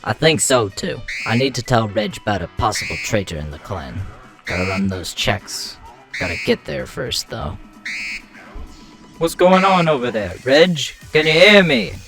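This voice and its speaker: A man voicing a gruff, worried and menacing right-hand man of an airship gang in a comic dub, terse and urgent. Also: medium pitch, very animated, measured pace. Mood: urgent, shouting. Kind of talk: comic dub character acting. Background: music.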